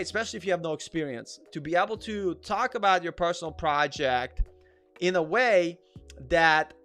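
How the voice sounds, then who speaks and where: A man's voice: high-pitched, fairly bright tone. A man from Russia with a slight Russian accent, hosting a casual live Q&A and chatting with viewers. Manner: chatty, reads out chat comments, repeats himself. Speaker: livestream host, developer.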